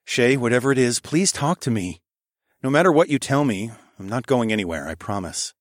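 A man's voice: mid-range voice, lively intonation, fairly quick, no room sound, studio quality, natural voice. A man voicing a devoted, tender young hero in audiobook dialogue, earnest and soothing with a playful streak. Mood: tender, reassuring.

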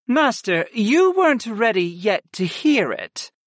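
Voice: mid-range voice, big pitch swings, slow, no room sound, studio quality, voice pushed up. A narrator voicing female goddesses in a fantasy audiobook, murmuring, coaxing and pouting in a sultry way. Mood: defensive.

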